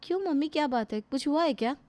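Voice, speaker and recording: A woman putting on a sharp-tongued young daughter-in-law. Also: high-pitched, animated, fast, pitched above the performer's own voice. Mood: sweet. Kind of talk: Hindi family drama acting.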